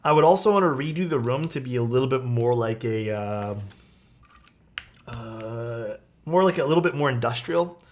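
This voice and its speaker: A man's voice: mid-range voice, expressive, normal speed, a little hiss or hum. A young man hosting a livestream, chatting casually and rambling to his viewers from a small rented room. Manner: rambling, easygoing, talks to chat. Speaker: livestream host building keyboards. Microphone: streaming mic.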